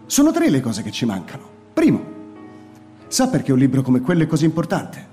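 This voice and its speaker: An actor playing a weary, frightened old male scholar in a radio play, measured and bitter, with faint music behind. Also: wildly swooping pitch, dark tone. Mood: earnest. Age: older. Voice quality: measured, turns shrill in alarm. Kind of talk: radio drama acting.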